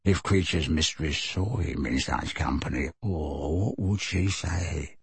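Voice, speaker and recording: Bitter old house elf, deep croaky bullfrog mutter, super slow, husky. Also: male voice, no echo, heavily acted. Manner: slow grumbling mutter under the breath.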